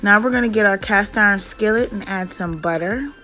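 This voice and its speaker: A woman giving an unhurried, step-by-step cooking narration in a home kitchen, in a homely, instructional way. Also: mid-range voice, pushed and bright. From Jamaican parents. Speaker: cooking vlogger. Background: background music.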